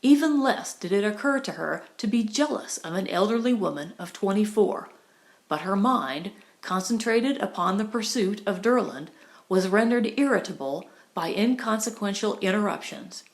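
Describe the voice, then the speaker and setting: A woman's voice: mid-range voice, animated, moderate pace. A woman reading a novel as an audiobook narrator, in a scripted storytelling style. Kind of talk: scripted narration of a 1920s romantic comedy novel.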